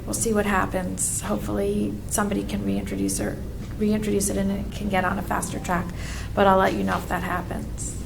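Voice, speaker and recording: A hoarse woman giving a registrar's report at a town meeting, chatty and full of fillers and restarts. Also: medium pitch, level tone, brisk, hardly any pauses, noisy background. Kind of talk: spoken report at a public meeting.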